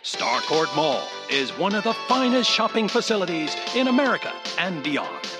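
A man narrating a retro mall commercial as an announcer, salesy and emphatic with drawn-out slogans. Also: high voice, sing-song, over music.

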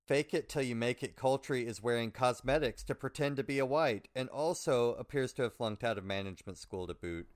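A man co-hosting a podcast, reading a prepared chapter summary aloud with dry, wry asides. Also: mid-range voice. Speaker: podcast co-host.

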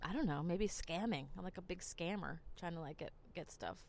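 A woman's voice: lowish voice, a little fast, darkish tone, a little hiss or hum. A woman from New Jersey, a podcast host telling rambling stories in casual chat. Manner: chatty, rambling, lots of "like". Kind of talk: casual storytelling monologue.

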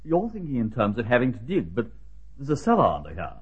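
A man playing an excitable, stammering British country gentleman in an old-time radio drama. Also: mid-range voice, fast. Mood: excited. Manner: stammering, hesitant, flustered. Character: excitable, bewildered English gentleman, owner of old house. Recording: dull vintage radio recording.

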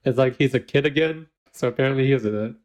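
A man's voice: mid-range voice, fast, a little mellow. A man co-hosting a podcast, recapping a baking show in casual chat, giggling often and using lots of fillers. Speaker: podcast co-host.

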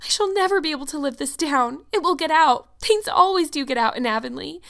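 A woman acts out an excitable young orphan schoolgirl from a storybook. The voice sits very high, well above an everyday register. She is effusive and dramatic, easily mortified, and spills out her feelings in long, melodramatic sentences. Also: fairly quick. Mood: despairing, sobbing. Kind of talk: storybook character voice.

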